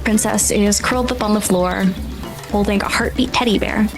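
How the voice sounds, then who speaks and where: A woman's voice: medium pitch, moderate pace, over music. A woman working as game master, narrating atmospheric tabletop scenes in a dramatic storytelling manner.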